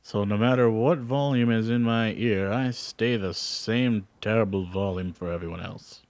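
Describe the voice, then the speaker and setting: A man with a somewhat low voice trades jokes during a game stream, laughing easily and poking fun at himself. His voice is dry and close, with no room sound. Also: normal speed, darkish tone, no room sound. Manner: joking, laughs often, self-deprecating. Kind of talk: casual gaming chat.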